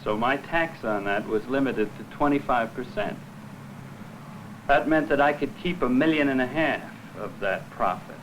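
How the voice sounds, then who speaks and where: A man's voice: mid-range voice, normal speed, a bit bright, a little hiss or hum, rough recording. An American man, a former executive, explaining things in a blunt, plain-spoken way on an old film soundtrack. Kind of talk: candid explanation to camera.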